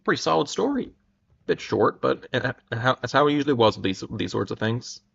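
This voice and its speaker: A man hosting a livestream and reading aloud, haltingly, often stumbling over and repeating his words. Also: medium pitch, very animated, vocal fry, fairly bright tone, lo-fi sound. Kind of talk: dramatic reading and casual livestream chat.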